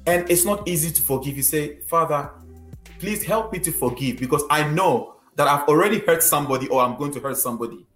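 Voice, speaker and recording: A man's voice: on the high side, fairly quick, fairly bright tone. A man with a Ghanaian accent giving earnest, conversational advice as a member of a discussion panel. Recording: roomy echo, music behind.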